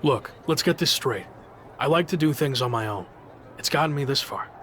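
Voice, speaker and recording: A man acts out a proud young wrestling champion from a video game. He is a stubborn loner who talks in a curt, guarded way at a middling pitch, with faint ambience behind him. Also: lively intonation, moderate pace, fairly bright tone, slight background noise, close to an everyday voice. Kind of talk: video game cutscene acting.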